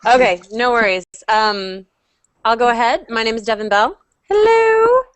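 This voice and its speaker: A woman from Oregon introducing herself as a guest in a casual, measured way. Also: on the high side, expressive, smooth.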